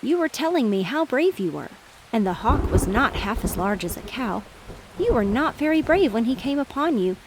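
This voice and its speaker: A little storybook robin, voiced by a woman, taunting in a piping way. The pitch jumps around animatedly over faint hiss. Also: sing-song, normal speed. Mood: mocking. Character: small mocking robin piping from a tree.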